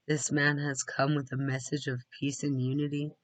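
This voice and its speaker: A man voicing a solemn, reproachful elderly clan mother in a storybook, measured and earnest. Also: medium pitch, level tone, a bit bright, dry recording, lightly acted.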